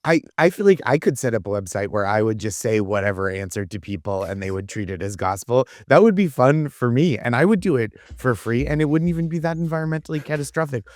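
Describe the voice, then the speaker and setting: A man with a medium-pitched voice riffs on a comedy panel. He is conversational and incredulous, often acting out little imagined exchanges. The recording is close and dry. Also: animated, fast, hardly any pauses, darkish tone, dry recording. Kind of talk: casual comedic riffing.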